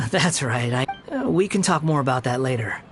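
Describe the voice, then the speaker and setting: A man's voice: mid-range voice, animated, normal speed, over music, close to an everyday voice. An actor playing a polite, self-effacing young man working as a shop helper in a video game. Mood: earnest. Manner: soft-mannered, polite, earnest. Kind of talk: video game character acting.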